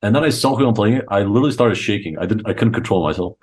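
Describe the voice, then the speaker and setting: A man's voice: fairly low pitch, expressive, rapid-fire, darkish tone. A young man from Croatia telling stories informally and enthusiastically as a guest in a casual interview. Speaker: podcast guest, chess coach. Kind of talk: casual interview chat, storytelling.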